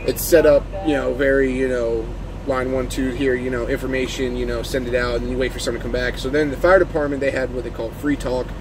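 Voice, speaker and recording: A man's voice: medium pitch, fast talker, few pauses. A man who drives trucks talks in a casual, unscripted vlog, rambling informally as he explains things from his parked truck. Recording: clear steady vehicle and engine-like noise.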